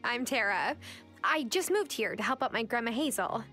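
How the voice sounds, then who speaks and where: An actress playing a friendly young woman new in town in a video game, casual, polite and gently wry. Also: a little high, animated, normal speed, soft background music.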